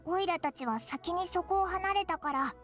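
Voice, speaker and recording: A woman's voice: very high voice, lively intonation, fast talker, fairly bright tone. A woman voicing a tiny floating childlike companion in a game, chatty and boastful. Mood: excited. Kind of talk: game voice acting. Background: game music.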